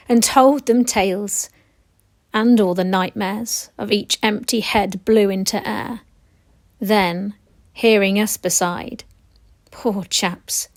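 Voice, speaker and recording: A woman reciting war poetry in a measured, storytelling manner. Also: mid-range voice, no echo.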